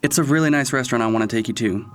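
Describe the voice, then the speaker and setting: A woman's voice: rumbling low, rapid-fire, soft background music. A woman voicing a confident, teasing man with a dry manner in an audio drama about a date. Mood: mildly exasperated.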